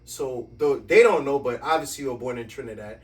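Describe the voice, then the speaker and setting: A man hosting a podcast from a basement home studio, giving lively, playful introductions and questions. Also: mid-range voice, brisk, far from the mic. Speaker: podcast host. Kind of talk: podcast interview.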